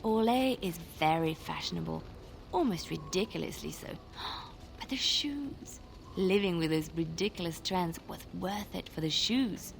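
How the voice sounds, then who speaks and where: A woman playing a girlish, wistful young companion in a fantasy video game, with an expressive delivery. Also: lowish voice, unhurried, gravelly, slight background noise. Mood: dreamy, enthusiastic. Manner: dreamy, expressive.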